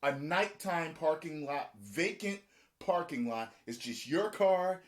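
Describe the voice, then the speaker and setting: A man hosting a casual reaction chat, talking in an animated way and acting out other people's lines. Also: takes it slowly. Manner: animated, acts out quotes with shifting pitch. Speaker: show host.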